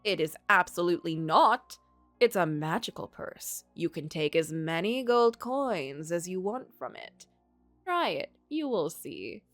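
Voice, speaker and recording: A sly gentleman tempter from a story game, read by a woman, courtly and insistent. The delivery swings in pitch as he coaxes, over faint music. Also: expressive, a little slow, dry recording. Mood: persuasive. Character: sly, smooth-talking gentleman tempter offering magical bargains.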